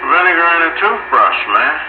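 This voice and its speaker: A man's voice: a little high, big pitch swings, fairly quick. A man chatting casually over the radio in colloquial, folksy speech. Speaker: amateur radio operator. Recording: noisy, muffled, echoey radio transmission.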